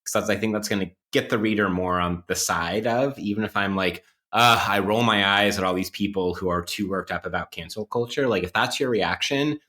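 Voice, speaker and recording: Lowish male podcast guy, kinda academic n wry, hedges and loops around while explaining stuff. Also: brisk, few pauses, sharp tone. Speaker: podcast co-host playing interview guest. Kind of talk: casual analytical podcast discussion.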